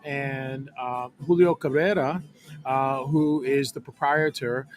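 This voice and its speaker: A man who works in hospitality, a podcast guest, telling stories in a measured, filler-heavy way, recorded outdoors in a back alley at night. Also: medium pitch, steady tone. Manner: warm storytelling, measured, many fillers. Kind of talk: casual chat.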